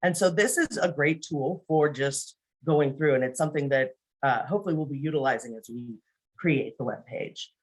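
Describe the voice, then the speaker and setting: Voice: mid-range voice, normal speed, poor audio. A presenter giving an informal screen-share training on a video call, talking conversationally and often checking in with the listeners by saying "right?".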